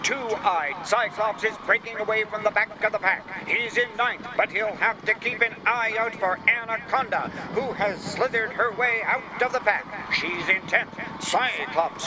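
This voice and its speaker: A man voicing an excitable sports commentator calling a storybook race in a punning, play-by-play style. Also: high voice, noisy background, voice pushed up. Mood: brisk, excited. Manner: punning, building play-by-play delivery.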